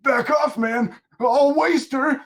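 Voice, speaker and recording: Man yelling as a frantic high-voiced cornered thug. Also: slow pace, heavily acted. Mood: panicked threat. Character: terrified young male mutant thug, cornered, threatening. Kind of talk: cartoon table read.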